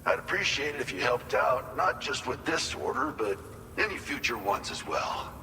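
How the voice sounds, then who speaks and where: A man's voice: mid-range voice, sing-song, normal speed, bright, forceful tone, exaggerated voice. An actor playing a folksy, informal man handing out delivery orders in a video game. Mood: friendly, encouraging. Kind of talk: scripted game dialogue, conversational briefing. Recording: long reverb, clear background noise.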